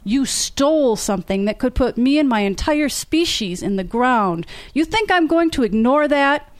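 A woman's voice: sits high, expressive, moderate pace, no echo. A woman reading aloud as a cool, controlled man who speaks tightly and mockingly. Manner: clipped, mocking. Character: cool, controlled man, calm but tense.

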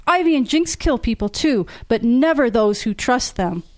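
A woman's voice: fairly high pitch, animated, moderate pace, no room sound, studio quality, close to an everyday voice. A woman reading as a fiery, furious young woman. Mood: angry, accusing. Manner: heated, accusing.

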